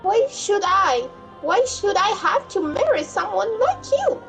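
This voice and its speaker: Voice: extremely high pitch, very animated, unhurried, creaky, over music, voice pushed up, heavily acted. A woman voicing a defiant young noblewoman who refuses an arranged marriage. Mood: indignant. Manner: indignant.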